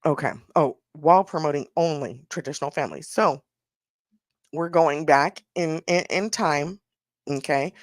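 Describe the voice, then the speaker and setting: A sassy, outspoken woman talks through her opinions in a casual, blunt way and drags out her rhetorical questions for effect. Her voice sits low, and the sound is close and dry. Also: moderate pace, dry recording. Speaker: podcast host.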